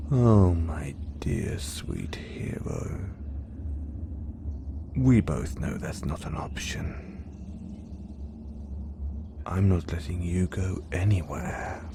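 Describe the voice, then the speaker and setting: A man voicing an obsessive, menacing male supervillain in an audio roleplay, speaking in a slow, hushed, gloating whisper. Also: low voice, lively intonation, creaky, background noise, exaggerated voice. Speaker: voice actor.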